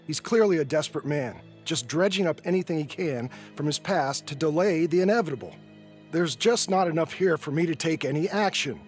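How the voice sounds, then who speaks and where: An actor playing a skeptical judge in a video game, with a folksy, wry and patronizing manner. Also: male voice, on the high side, expressive, fairly quick, soft background music, natural voice. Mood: dismissive, firm. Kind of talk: video game cinematic acting.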